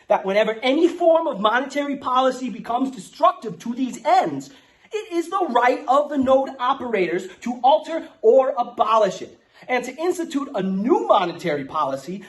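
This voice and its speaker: A man's voice: very high voice, heavily acted. A man playing a brash, streetwise, foul-mouthed Bronx guy with a New York accent. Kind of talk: casual ranting and mock-solemn declaration reading.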